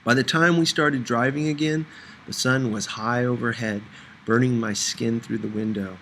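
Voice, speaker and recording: A man with a mid-pitched voice narrates a first-person novel as an audiobook reader. The delivery is scripted storytelling, recorded with faint noise behind it. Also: fairly even intonation, normal speed, fairly bright tone.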